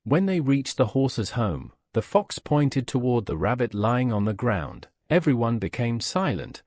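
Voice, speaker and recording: A man's voice: medium pitch, big pitch swings, unhurried, a little mellow, no room sound, studio quality. A man narrating a scripted moral story as a storyteller.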